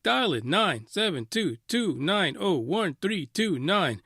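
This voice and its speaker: A man's voice: high voice, slow pace, husky, dry recording, clean studio sound. A man hosting a casual live talk radio show, commenting on a story in an emphatic, often sweary way. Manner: animated, emphatic, frequently swearing.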